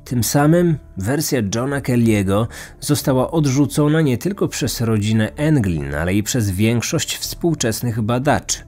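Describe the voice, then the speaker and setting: A man narrating a scripted true-crime podcast as host, in a measured, serious manner. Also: mid-range voice, animated, darkish tone, faint music behind.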